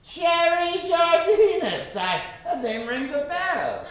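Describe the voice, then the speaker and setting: A man's voice: extremely high pitch, big pitch swings, slow, fairly bright tone, echoey room. A man hosting a live improv show from a theater stage, with theatrical, showman-like patter for the audience. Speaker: host.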